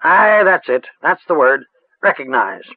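A woman's voice: sits low, sing-song, unhurried, no room sound, voice pushed up, exaggerated voice. Man putting on a rustic old witch woman. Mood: musing. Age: older. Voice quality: rustic dialect, sing-song and chanting. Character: old female village witch, rustic and earthy. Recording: tinny phone-like line, dry.

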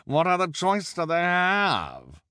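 A man's voice: high-pitched, huge pitch swings, slow pace, bright, forceful tone, smooth, no room sound, clean studio sound, voice pushed up, exaggerated voice. A man voicing a menacing, cynical henchman in an audiobook, with a sly, knowing manner. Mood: amused menace.

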